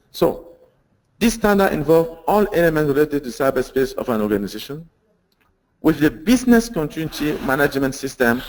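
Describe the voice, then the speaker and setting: A man's voice: on the high side, low-quality recording. Earnest man. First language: French. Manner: earnest, with repeated fillers, restarts and rhetorical questions. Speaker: webinar presenter. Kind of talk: webinar presentation on security standards.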